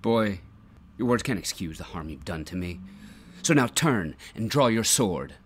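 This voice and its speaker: A man's voice: deep voice, lively intonation, a little slow, slightly rough, dry recording, full character voice. A man playing a proud, menacing young swordsman in an audio drama, speaking with formal, cool confrontation. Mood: hostile, challenging.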